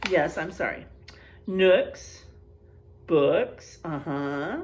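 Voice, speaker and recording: A woman's voice: on the low side, expressive, very slow, darkish tone. A woman teacher reading a picture book aloud to children, expressively, stretching out rhymes and adding chatty, exclaiming asides.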